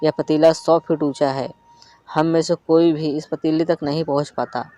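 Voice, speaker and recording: A man's voice: fairly high pitch, level tone, normal speed, dark tone, lightly acted. A man voicing a starving, despairing man in hell who complains mournfully. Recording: faint flute music behind.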